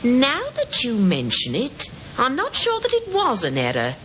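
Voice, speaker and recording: A chatty, fussy neighbourhood woman, gives her opinions with emphasis. Her pitch leaps around dramatically. The vintage radio drama recording carries faint noise. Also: wildly swooping pitch, measured pace, a bit bright, exaggerated voice. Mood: disapproving. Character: chatty, emphatic female flat resident and witness. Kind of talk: old-time radio drama acting.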